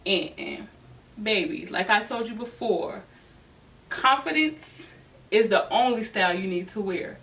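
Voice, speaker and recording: A woman's voice: mid-range voice, expressive, unhurried, a bit bright. A woman vlogger giving casual, chatty advice to camera in a sassy, emphatic way with informal slang. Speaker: host of a fashion advice vlog. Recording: distant mic with noticeable background noise.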